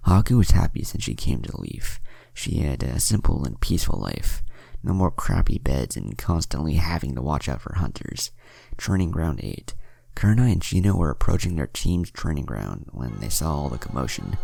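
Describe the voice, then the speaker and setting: Super deep crackly guy reading out a story, kinda dark mellow tone, narrator style. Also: sing-song, normal speed, dry recording. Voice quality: deep, gravelly. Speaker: narrator reading fan fiction.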